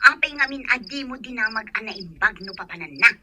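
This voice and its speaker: A woman's voice: on the high side, lively intonation, fairly quick, bright, forceful tone, a little hiss or hum. A woman playing a stern, anxious, questioning figure in an audio drama, with a Filipino accent. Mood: sharp, commanding. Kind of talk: Ilocano audio drama acting.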